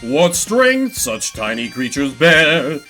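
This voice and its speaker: A man voicing a boastful sea monster villain from a game, speaking in grandiose, drawn-out rhyme. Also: fairly high pitch, very animated, pushed and bright, faint music behind, exaggerated voice. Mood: grand, thwarted.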